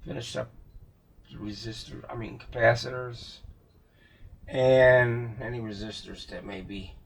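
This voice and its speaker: A man's voice: mid-range voice, fairly even intonation, measured pace, creaky, slightly rough. A man talking casually through a radio repair at his workbench, rambling and thinking aloud with fillers and self-corrections. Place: home workshop.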